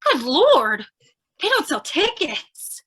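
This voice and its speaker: A woman's voice: high voice, wildly swooping pitch, takes it slowly, fairly bright tone, exaggerated voice. A woman playing a scatterbrained, chatty, eager young lady reporter, earnest and theatrical, in old-time radio crime comedy style. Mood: eager, naive.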